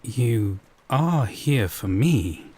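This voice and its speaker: A man playing a gentle, possessive yandere boyfriend from a dating sim, speaking tenderly and coaxingly with deliberate pauses in a scripted roleplay. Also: mid-range voice, lively intonation, breathy edge, full character voice. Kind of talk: scripted roleplay audio drama.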